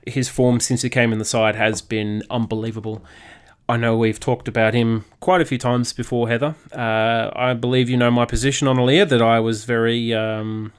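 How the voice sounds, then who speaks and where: A man's voice: mid-range voice, flat delivery, normal speed, clear, resonant tone. A man hosting a sports podcast, giving opinionated, emphatic analysis. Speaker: podcast host.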